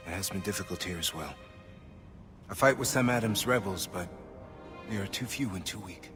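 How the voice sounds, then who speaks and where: A man's voice: deep voice, normal speed, lightly acted. Man as a captive rebel warrior, echoing hall. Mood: grave, urgent. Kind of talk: video game cutscene acting. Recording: reverberant hall, loud music underneath.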